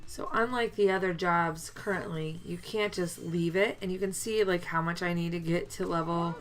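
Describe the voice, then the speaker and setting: A woman commenting casually on a mobile game as she plays, her voice deepened and gravelly from a chest cold, chatty with many fillers. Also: steady tone, normal speed, soft background music. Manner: chatty, mildly complaining, lots of fillers. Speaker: let's play gamer.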